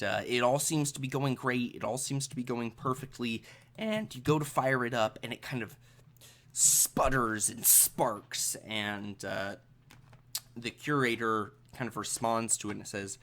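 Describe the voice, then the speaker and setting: A game master with a medium-pitched voice improvises narration for a tabletop role-playing game. The delivery is conversational and halting, with phrases repeated while the next idea forms. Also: a bit bright.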